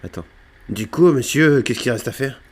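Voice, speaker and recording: A man's voice: mid-range voice, moderate pace, a little hiss or hum. A man improvising game roleplay and chatting casually, playfully hamming it up with slang. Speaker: gaming video creator playing online roleplay.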